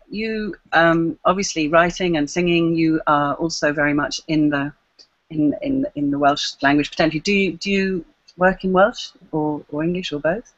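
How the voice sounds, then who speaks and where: A moderator asking halting questions in an online panel, repeating words as they go. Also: medium pitch, a bit bright. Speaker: discussion moderator. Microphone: video call. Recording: muffled video call audio.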